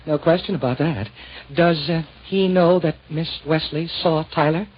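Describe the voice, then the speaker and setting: An actor playing a nervous, furtive building superintendent in an old radio drama, fussy and repeating himself. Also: male voice, on the high side, normal speed, natural voice. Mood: anxious, furtive. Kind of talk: 1940s radio acting. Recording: muffled, faintly noisy old radio recording.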